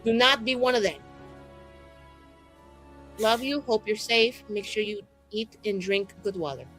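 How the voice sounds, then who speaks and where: A woman's voice: medium pitch, measured pace, fairly bright tone, close to an everyday voice. Woman playing a blunt sweary fantasy heroine, fond but pushy, rambles in slang, music behind. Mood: scolding.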